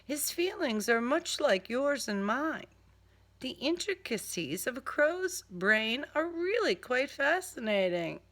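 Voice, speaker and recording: Woman voicing a slow, suave male serial killer. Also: high-pitched, very animated, fairly bright tone, voice pushed up, heavily acted. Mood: calm, explaining. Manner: courteous, formal, unhurried.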